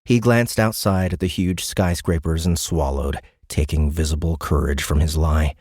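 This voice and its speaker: A man's voice: extremely low pitch, fairly even intonation, moderate pace, a little mellow, husky, dry recording, clean studio sound. A man reading scripted science fiction narration for an audiobook in a dramatic storytelling style.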